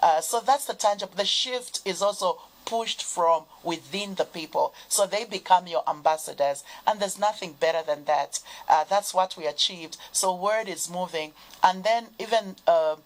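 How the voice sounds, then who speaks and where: Woman, lower voice, enthusiastic, conversational guest. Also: normal speed, hardly any pauses, rough recording. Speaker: program guest. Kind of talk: studio interview.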